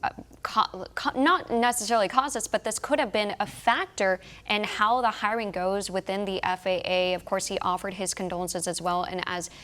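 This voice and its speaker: A woman's voice: mid-range voice, fairly even intonation, normal speed, a bit bright. An American woman working as a news interviewer, speaking in an emphatic yet conversational broadcast manner.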